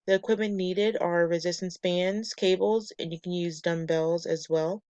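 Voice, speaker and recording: A woman presenting a class lesson from slides, a bit nasal and raspy from a cold, reading steps and lists in a measured way. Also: fairly low pitch, steady tone, a little mellow, no echo. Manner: measured, reads steps and muscle lists methodically. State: getting over a cold, slightly nasal and raspy. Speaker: student presenter.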